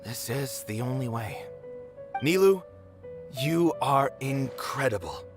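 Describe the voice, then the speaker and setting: A man's voice: mid-range voice, expressive, slow pace. A man voicing a kindly, responsible middle-aged theater manager in a video game, earnest and encouraging. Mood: admiring. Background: game music.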